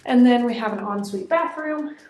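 A young woman giving a chatty, excited tour of an empty apartment, filming herself with a handheld camera. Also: fairly high pitch, moderate pace, slight background noise. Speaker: vlogger. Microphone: handheld camera at arm's length. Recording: echoey empty room with faint music.

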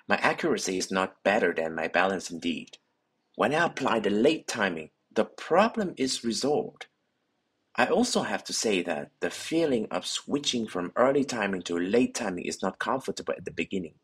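A man narrating a scripted instructional voice-over, explaining technique in steady, slightly non-native phrasing. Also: lowish voice, breathy edge. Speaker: bowling coach.

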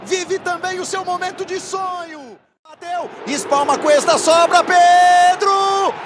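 A Brazilian man calling football goals on a broadcast, shouting excited goal calls. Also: very high-pitched, slow pace, very noisy. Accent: Brazilian Portuguese. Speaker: play-by-play commentator. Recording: broadcast over loud stadium crowd. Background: roaring stadium crowd.

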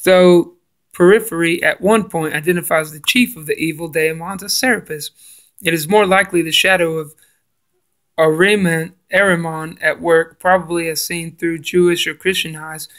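A man's voice: fairly high pitch, steady tone, moderate pace, fairly bright tone. A man reading passages from a book aloud and adding his own comments, stumbling and restarting on hard names. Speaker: religious video maker. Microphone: phone camera filming a book page.

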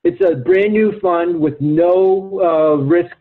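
Phone-line man, higher-pitched, explaining haltingly. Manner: halting, hesitant delivery with frequent fillers and repeated words. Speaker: investment research executive. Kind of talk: explanatory commentary on a client conference call. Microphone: phone or conference call line. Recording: thin, phone-like conference call line.

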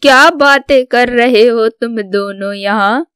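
Woman playing a slow, clear-voiced proud mother. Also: fairly high pitch, a bit bright, dry recording, clean studio sound. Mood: encouraging. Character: proud, devoted older mother of soldier sons. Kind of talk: scripted Hindi cartoon story dialogue.

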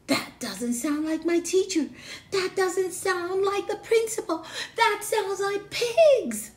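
A woman voicing a cheeky, stubborn young schoolgirl in a storybook read-aloud, loud and sing-song, stretching her words for emphasis. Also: very high voice, slow, pitched above the performer's own voice. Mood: puzzled.

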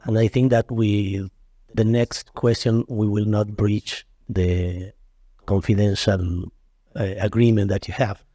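A man's voice: fairly low pitch, little pitch movement, takes it slowly, mellow, dry recording. A man from Argentina with an Argentine accent, a media representative putting a question to a panel. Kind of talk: conference question.

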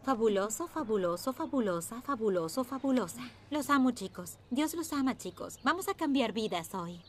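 An actress dubbing a preachy, devout teenage girl who leads a prayer group, earnest and rising to shrill. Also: animated, normal speed, slight background noise, close to an everyday voice. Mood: fervent. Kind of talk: Spanish film dub.

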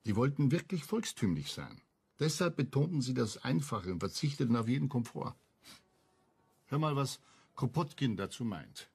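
An actor playing a bookish, opinionated loner in a radio crime drama, blunt and didactic, with a gravelly, raspy voice. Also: male voice, medium pitch, very animated, moderate pace, a little mellow, exaggerated voice. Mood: earnest.

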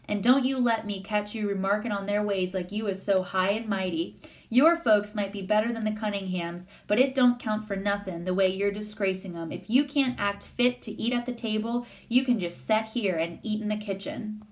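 A woman's voice: medium pitch, normal speed, close to an everyday voice. A woman voicing a stern, bossy housekeeper in a story, scolding in folksy Southern US dialect.

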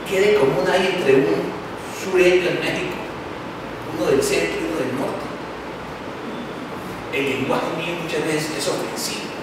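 A man's voice: a little high, unhurried, pauses often. Man, Colombian accent, middle-aged, university lecture, storytelling with jokes, long reverb, background noise. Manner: animated storytelling with humorous asides. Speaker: lecturer presenting his book. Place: large lecture hall, indoors. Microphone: handheld microphone. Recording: echoey hall from far off with noise.

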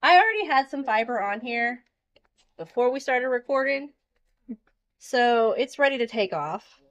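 High-voiced woman explaining a craft. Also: expressive, a little slow, fairly bright tone. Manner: explanatory, uses simple everyday comparisons. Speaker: fiber spinning demonstrator. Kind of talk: informal hands-on craft demonstration. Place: indoor farm shop with concrete floor. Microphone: handheld camera a short distance away.

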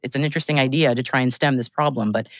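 A woman's voice: very deep voice, racing, no room sound, studio quality. A woman guest on a podcast, chatting casually, laughing often and restarting her sentences. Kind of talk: casual chat.

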